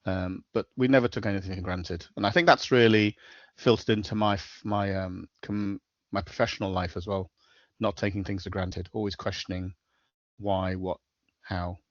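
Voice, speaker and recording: A middle-aged man with a Scouse accent speaks in a low voice as a podcast guest, matter-of-fact and full of small hesitations. The recording is dry and close, with a slightly dull, lo-fi tone. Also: steady tone, moderate pace, dry recording. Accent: Liverpool (Scouse). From Liverpool, England. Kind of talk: casual interview chat.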